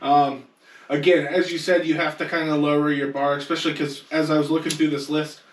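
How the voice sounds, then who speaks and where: A man's voice: on the high side, level tone, a little fast. A man hosting a podcast and leading a casual group chat. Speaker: podcast host leading the discussion. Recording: echoey room, distant mic.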